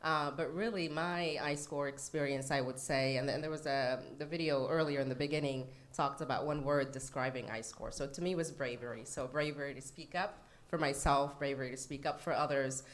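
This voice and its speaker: A woman from Sudan speaking as an alumni panelist, in a measured, deliberate manner. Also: rumbling low, steady tone. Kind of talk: panel talk at a keynote.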